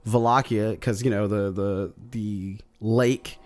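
A man with a slightly hoarse voice casually recapping his tabletop campaign as a solo podcast host, rambling with many fillers and restarts. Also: on the low side, steady tone, slow pace, a little mellow.

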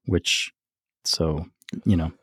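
Male podcast co-host, lowish voice, relaxed conversational anecdotes, stop-and-start delivery, very dry sound. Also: slightly rough, no room sound. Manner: halting, chuckles often while talking. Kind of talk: casual chat, telling music trivia and anecdotes.

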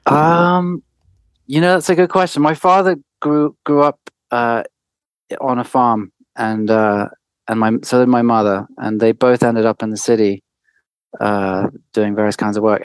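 Hesitant low-voiced man from France. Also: no room sound. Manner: hesitant, many restarts, soft laughs. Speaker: winemaker guest. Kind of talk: conversational interview.

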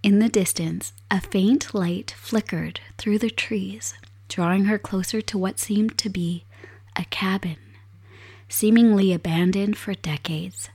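A woman hosting a podcast, her voice raspy and hoarse from a cold, chatting casually and reading a story aloud in a soft, unhurried way from her desk at home. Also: mid-range voice, fairly even intonation. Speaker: podcast host. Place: home desk set up for streaming.

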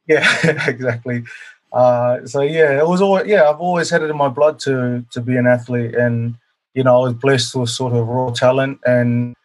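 A man in his thirties from New Zealand, a guest on an interview, answering casually and humbly with many pauses and fillers. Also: mid-range voice. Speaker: guest, professional rugby player.

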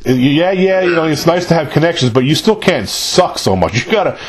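Husky-voiced male radio host. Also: on the high side, fairly quick. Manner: relaxed, agreeable, chatty. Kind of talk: casual interview chat.

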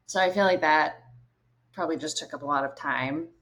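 Easygoing low-voiced woman guest. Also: fairly quick, a bit bright. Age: 30s. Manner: easygoing, many fillers like "like". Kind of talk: casual interview chat.

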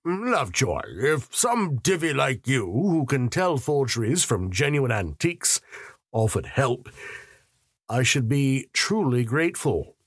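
A man voicing a smarmy, pompous middle-aged mayor who speaks slowly and deliberately. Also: mid-range voice, sing-song, slightly rough, no echo, studio quality, pitched above the performer's own voice.

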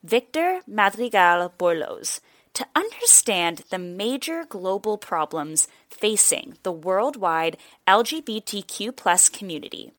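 Young woman reporter reading her script, clean even delivery, dry close sound. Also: mid-range voice, a little slow, dry recording. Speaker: reporter and host. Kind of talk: scripted narration.

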